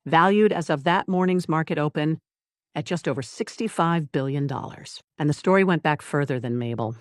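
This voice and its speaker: This is a story narrator delivering scripted drama in a deliberate manner. Short phrases are set apart with pauses for emphasis. The sound is dry, with no room around the voice. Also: medium pitch, dry recording.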